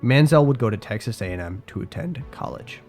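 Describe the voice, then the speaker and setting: A man's voice: mid-range voice, expressive, brisk. A young man with a congested voice narrating a scripted sports video, with casual asides to his viewers. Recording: faint music behind voice.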